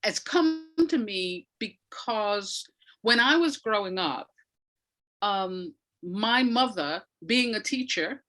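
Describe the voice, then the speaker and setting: A woman's voice: mid-range voice, expressive, slow pace, pushed and bright. A woman giving reflective, conversational explanations as an interview guest, thoughtfully searching for her words. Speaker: academic and audio dramatist, interview guest.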